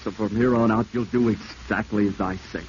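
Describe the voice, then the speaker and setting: Deep, dark-voiced scheming man played by an actor. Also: steady tone, a little fast, natural voice. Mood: cold, commanding. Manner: clipped, commanding, scheming. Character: desperate, domineering corrupt lawman plotting murder. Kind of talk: 1940s radio drama acting. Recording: muffled hissy vintage radio recording.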